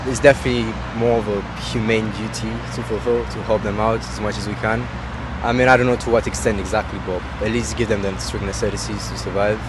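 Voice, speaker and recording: A young man answers questions on the street, hesitant and earnest, often pausing with fillers. His voice is a little low, and traffic noise comes through clearly behind him. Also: flat delivery. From Romania. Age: young adult. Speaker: university student. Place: outdoors on a street. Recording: outdoor street recording with traffic.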